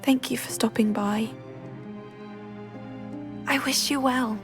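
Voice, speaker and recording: A frail, gentle teenage girl. Her lightly high voice moves very slowly, soft and weary. Music is clearly heard behind the game dialogue. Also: steady tone. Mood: tired, gentle. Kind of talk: video game voice acting.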